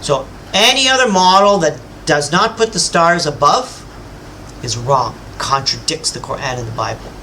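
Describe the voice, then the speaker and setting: A man's voice: on the high side, animated, moderate pace, bright, forceful tone, a little hiss or hum, rough recording. A man giving an emphatic, lecturing rebuttal, speaking straight to his opponent and boasting about his own knowledge. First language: English. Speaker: self-styled religious teacher rebutting a scholar. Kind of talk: combative rebuttal monologue.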